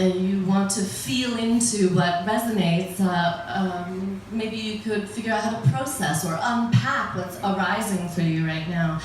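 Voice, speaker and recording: A woman's voice: medium pitch, a little hiss or hum. A middle-aged American woman doing stand-up comedy, chatty and wry, laughing at her own jokes. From United States. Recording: echoey room, voice from a distance.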